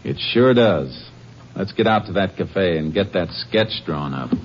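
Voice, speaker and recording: An American actor playing a calm, determined Texas Ranger investigator in a 1950s radio drama, with terse, matter-of-fact delivery. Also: male voice, low voice, a little slow. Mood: grimly certain. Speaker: lead actor in radio western. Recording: noisy, muffled old 1950s radio recording.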